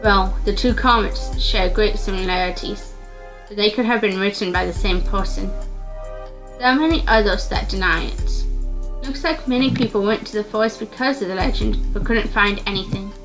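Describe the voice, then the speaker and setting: A woman's voice: medium pitch, moderate pace, fairly bright tone. A woman reading scripted narration from a visual novel aloud, occasionally stumbling and repeating words. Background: clear background music.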